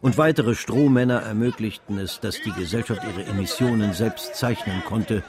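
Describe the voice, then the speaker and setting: An actor playing a grave, vivid literary narrator in a radio drama, measured and dramatic. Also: male voice, lowish voice, a little mellow, some chatter behind, close to an everyday voice.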